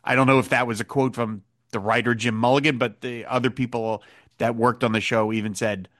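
A man hosts a podcast, moving between recapping a plot and musing aloud in a thoughtful, hesitant way. His voice sits at a middle pitch for a man. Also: fairly even intonation, fairly quick, fairly bright tone. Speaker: podcast host. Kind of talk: episode recap and casual chat.

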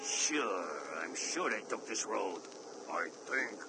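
An actor playing a big, slow, dim-witted henchman who whines to his boss. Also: fairly low pitch, expressive, music in the background, rough recording. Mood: nervous.

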